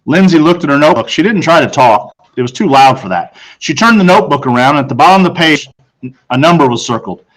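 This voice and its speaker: A man with a fairly high voice narrates a children's book. His reading is expressive and dramatic, and he sets off phrases with deliberate pauses. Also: poor audio. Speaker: audiobook narrator.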